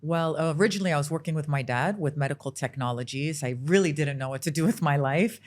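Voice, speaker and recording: A woman's voice: sits low, fast. A woman in her forties from the United States, a fitness trainer and podcast guest, talking in upbeat, gushing bursts. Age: 40s. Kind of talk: podcast conversation. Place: podcast studio.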